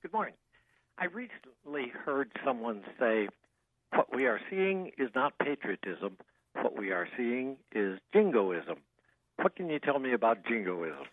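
A man's voice: medium pitch, big pitch swings, measured pace. A man calling into a radio show to ask a question. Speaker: caller. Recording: tinny phone line.

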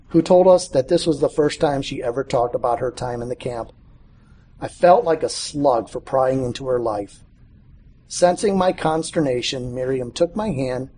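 A middle-aged man reading his own personal story aloud in a measured way, now and then stumbling over a word. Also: mid-range voice, dark tone, a little hiss or hum.

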